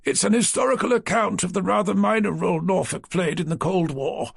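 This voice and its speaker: A man's voice: sits high, fairly quick, gravelly, slightly rough, no room sound, studio quality, voice pushed up, full character voice. A man voicing a fussy, flustered middle-aged male publisher who talks primly and defensively. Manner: prim and defensive.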